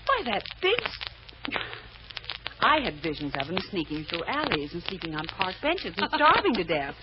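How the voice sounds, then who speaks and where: An anxious young-at-heart secretary, devoted and exasperated, her pitch leaping about as she pleads. The old radio drama recording is dull and very noisy. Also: huge pitch swings, moderate pace. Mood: indignant, startled. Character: anxious, devoted secretary woman, quick to exclaim. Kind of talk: old-time American radio drama acting. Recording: muffled old radio recording, heavy noise.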